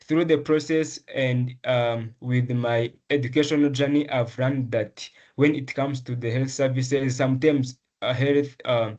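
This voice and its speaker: A young man from Rwanda speaks at a middling pitch in an interview. His answers come earnestly and carefully, broken by pauses, fillers and restarts as he builds his points. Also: steady tone, darkish tone. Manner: earnest, measured, with frequent ums and restarts. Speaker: medical student, essay prize winner.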